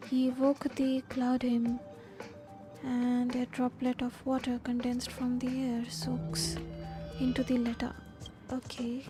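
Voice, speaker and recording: A woman with an Indian accent doing casual live game commentary, reading dialogue aloud and switching between Hindi and English. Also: a little high. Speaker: game streamer. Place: voice over game audio on a live stream. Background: faint game music.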